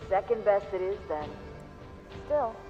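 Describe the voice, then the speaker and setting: Woman acting a teasing nosy bar regular in a video game, slightly dull sound, soft music. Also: mid-range voice, lively intonation, unhurried, lightly acted.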